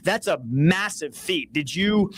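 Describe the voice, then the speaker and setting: A man's voice: high-pitched, expressive, slow pace, a bit bright. A man hosting a podcast, introducing and questioning his guest in an enthusiastic, friendly, casual way. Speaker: podcast host. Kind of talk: casual interview chat.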